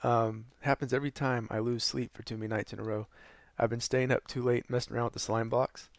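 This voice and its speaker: A young man with a hoarse, worn-out voice talks through a gaming build in a loose, rambling way, full of ums and uhs. His tone has a gravelly fry to it, recorded close and dry with no room sound. He sounds short on sleep and low on energy. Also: flat delivery, fast, no echo. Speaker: gaming channel host. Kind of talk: casual tutorial narration over gameplay.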